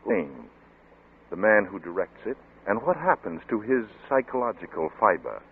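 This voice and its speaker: A man's voice: fairly low pitch, big pitch swings, normal speed, creaky, breathy edge, heavily acted. An actor serving as the ominous male announcer of an old-time radio thriller, with dramatic delivery. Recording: muffled, band-limited vintage radio broadcast.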